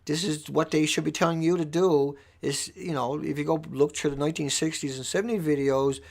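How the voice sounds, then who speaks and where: Creaky, higher-pitched man livestreaming with urgency. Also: fairly even intonation, a little fast. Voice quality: squeaky voice. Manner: urgent, emphatic, repeating phrases for stress. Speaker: livestream host. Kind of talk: impassioned off-the-cuff livestream monologue.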